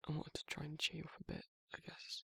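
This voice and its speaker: A man's voice: fast, pushed and bright, no room sound, close to an everyday voice. A man playing a protective, awkward werewolf in an intimate ASMR roleplay, speaking breathily and hesitantly, often near a whisper. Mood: tender, confiding. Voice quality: husky, breathy, gravelly, often dropping to a whisper. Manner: hesitant, rambling, bashful, informal. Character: protective, awkward, soft-hearted male werewolf confiding in a friend.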